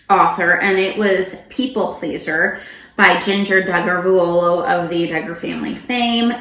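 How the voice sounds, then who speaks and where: A woman's voice: on the low side, pushed and bright, reverberant, low-quality recording. A middle-aged woman chatting casually and animatedly to viewers as a book vlogger, often interrupting herself with informal asides. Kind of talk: casual, chatty monologue to camera.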